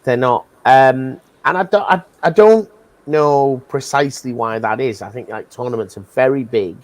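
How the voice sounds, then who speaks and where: British man, fairly low voice, livestream guest, casual chat, blunt, reflective. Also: low-quality recording.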